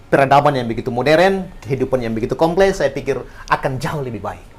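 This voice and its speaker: A man's voice: medium pitch, expressive, fast talker, slightly rough. A man, an English teacher and former interpreter, talking earnestly and encouragingly in a podcast interview.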